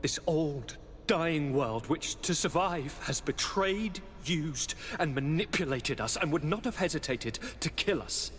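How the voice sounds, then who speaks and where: A man's voice: a little high, animated, a little slow, a bit bright, a little hiss or hum. An actor playing a zealous, power-hungry young nobleman in a video game, theatrical and impassioned. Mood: impassioned, bitter. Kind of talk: dramatic video game cutscene acting. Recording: long hall reverb, faint ambience.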